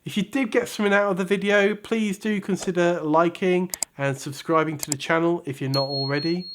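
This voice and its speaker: A man hosting a review channel, giving a scripted product review with measured, emphatic presenter delivery. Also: sits high, steady tone, a bit bright, slight background noise. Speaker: host of a guitar pedal review channel.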